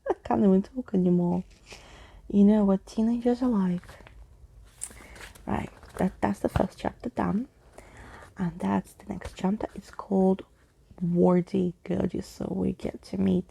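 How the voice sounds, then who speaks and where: Very creaky, soft-spoken woman storyteller. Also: mid-range voice, fairly even intonation, measured pace, long pauses, poor audio. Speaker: ASMR storyteller. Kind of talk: soft-spoken ASMR storybook reading with casual asides.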